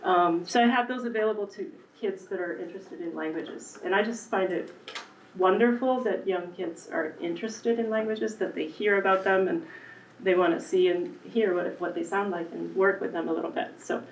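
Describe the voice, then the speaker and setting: A woman's voice: mid-range voice, normal speed, slight background noise. A woman elementary Spanish teacher presenting conversationally at a school committee meeting, with frequent ums. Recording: echoey room, distant, muffled.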